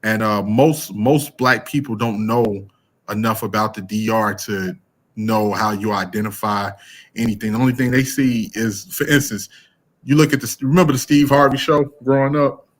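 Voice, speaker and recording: A man's voice: fairly low pitch, steady tone, normal speed. A man, talking casually as a podcast host, rambling with fillers and restarts. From American Midwest. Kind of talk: casual conversation.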